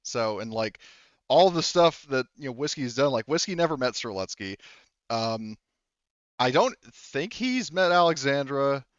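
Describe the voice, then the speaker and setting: Halting man with a clean, dry voice. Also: medium pitch, very animated, no echo, muffled recording. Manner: halting, rambling, informal. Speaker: game master. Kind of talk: improvised tabletop game narration, casual.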